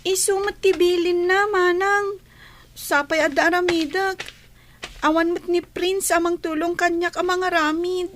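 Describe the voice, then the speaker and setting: A meek schoolgirl in a radio drama, acted by a woman speaks in a very high voice. She answers politely and gives way easily. She sounds put-upon and gentle. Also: fairly even intonation, unhurried, a little hiss or hum.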